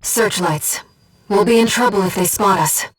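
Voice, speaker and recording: A woman playing a gentle, disembodied female mission operator in a video game, earnest and composed, with clearly enunciated tactical callouts. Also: medium pitch, moderate pace, recorded from a distance, natural voice, rough recording. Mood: composed, briefing. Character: gentle disembodied female voice guiding a mercenary pilot.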